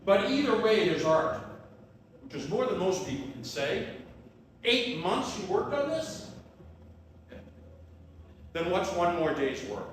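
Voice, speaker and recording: A man's voice: high-pitched, sing-song, takes it slowly, long pauses, full character voice. Man playing a probing friend, echoey and distant. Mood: insistent, coaxing. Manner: pointed questions, short emphatic statements. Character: probing, philosophical male friend of an artist, coaxing. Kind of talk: live stage play acting. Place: tavern theater performance, recorded from a distance. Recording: echoey room, far-off mic, faint noise.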